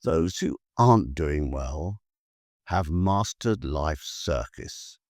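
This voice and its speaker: Male poet-narrator, low register, very lively intonation, deliberate phrasing with weighted pauses for a spoken-word podcast. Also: dry recording, clean studio sound. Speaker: poet and podcast host reading own poem. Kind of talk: expressive spoken-word poetry reading.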